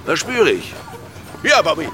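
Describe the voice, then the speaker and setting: Slow, wildly expressive worldly baron. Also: male voice, a little high, music in the background. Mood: musing, intense. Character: worldly, mocking, theatrical baron, a male house guest. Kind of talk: radio drama acting.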